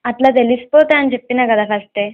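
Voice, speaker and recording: A woman with a fairly high voice speaks quickly over a dry, slightly muffled phone connection. Her chat is casual and coy. She keeps teasing and insisting as a prank caller. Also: no room sound. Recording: slightly dull, dry phone call audio.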